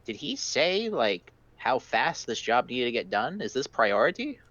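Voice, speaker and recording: Man, mid-pitched, very animated delivery, casual banter, tabletop role-play. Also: measured pace, dry recording, dull audio. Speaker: tabletop game player.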